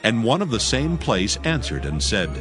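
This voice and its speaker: A man narrating dramatized scripture as a solemn, measured storyteller. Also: fairly low pitch, very animated, music in the background.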